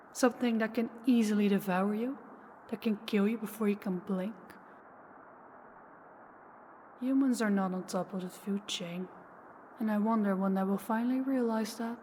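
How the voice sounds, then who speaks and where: A woman playing an ancient, powerful vampire in an audio roleplay, slow, deliberate and condescending, with calm menace toward the listener. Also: medium pitch, close to an everyday voice. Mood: weary disdain. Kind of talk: intimate scripted audio roleplay addressed to listener. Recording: long hall reverb, faint background noise.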